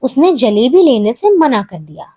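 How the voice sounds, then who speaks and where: A woman narrating a scripted children's story in an expressive, measured way. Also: high voice.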